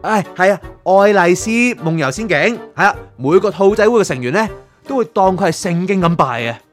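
A man acting an animated, inquisitive young guy. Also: high-pitched, brisk, soft background music. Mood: explaining. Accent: Hong Kong Cantonese. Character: curious, chatty young man probing a soul prosecutor's past. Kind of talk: audio drama acting.

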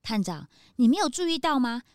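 A woman playing a detective's chatty, naive, excitable young sidekick in a children's audio drama. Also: fairly high pitch, brisk, dry recording, clean studio sound. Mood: suspicious. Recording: music behind the voice.

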